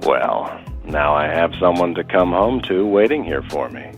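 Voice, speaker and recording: An actor playing a guarded, easily riled wealthy older brother in an audio soap opera, curt and dismissive. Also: male voice, deep voice, animated, unhurried, faint music behind. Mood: relaxed, evasive. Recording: narrow, phone-like sound.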